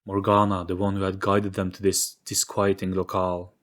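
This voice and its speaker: A man's voice: low-pitched, completely flat delivery, normal speed, a bit bright. A man giving a scripted, dramatic narration of an audio story.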